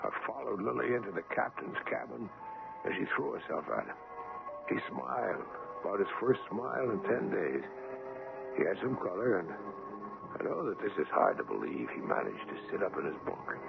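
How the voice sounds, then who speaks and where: An actor playing an earnest, skeptical doctor telling of a sea adventure in a 1970s radio drama. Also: male voice, lowish voice, sing-song, normal speed, gravelly, exaggerated voice. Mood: narrating, subdued. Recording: tinny vintage radio, music behind.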